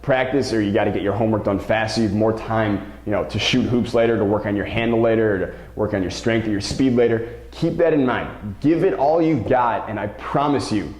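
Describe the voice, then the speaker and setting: A man's voice: fairly low pitch, a little fast, hardly any pauses, a little mellow. Echoey motivational man hosting a coaching vlog. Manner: emphatic, repeats and stresses key words. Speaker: basketball coach and show host. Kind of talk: motivational vlog talk to camera. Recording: roomy, echoing sound.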